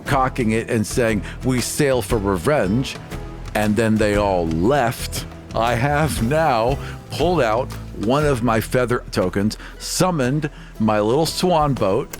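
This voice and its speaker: Proud, wronged merchant captain played by a man, mid-pitched, earnest and dramatic, tabletop roleplay over music. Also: slow pace, lightly acted. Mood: vengeful. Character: aggrieved, proud merchant ship captain bent on revenge.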